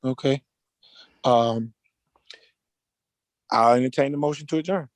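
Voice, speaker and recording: A man chairing a formal virtual meeting in a halting, courteous way. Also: medium pitch, dry recording.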